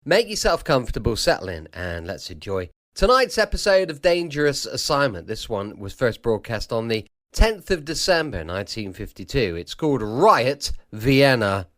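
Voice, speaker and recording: A man's voice: mid-range voice, big pitch swings, moderate pace, hardly any pauses, fairly bright tone. A man introducing a late-night old-time radio podcast as its host, struggling a little with a sore throat.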